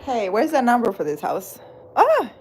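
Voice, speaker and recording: A woman's voice: fairly high pitch, huge pitch swings, moderate pace, a little mellow, a little hiss or hum, low-quality recording. A woman from the Republic, chatting casually with viewers on a live stream, laughing often and slipping in Spanish words. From Dominican Republic. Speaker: live-stream host, spiritual healer.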